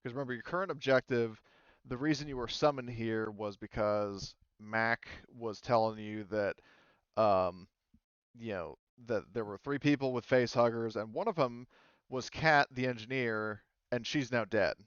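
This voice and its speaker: A man's voice: mid-range voice, a little slow, a bit bright, clean tone, no echo, muffled recording. A man narrating as game master, rambling with many fillers and restarts. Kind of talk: narrating a tabletop game, casual.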